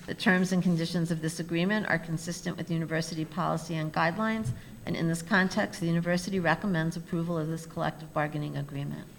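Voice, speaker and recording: A woman with a fairly low voice reads agenda items in a steady, formal way. Faint room ambience sits behind her. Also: quick pace, gravelly, slight background noise. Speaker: university staff presenting agenda items. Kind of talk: reading agenda items aloud.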